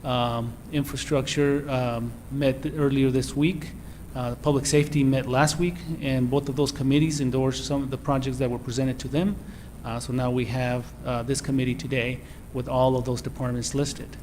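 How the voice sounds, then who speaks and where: A man's voice: medium pitch, flat delivery, normal speed, darkish tone, slight background noise. A man on city staff introducing an agenda item to a committee, formal and filled with uhs. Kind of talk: public meeting presentation. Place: city council chamber.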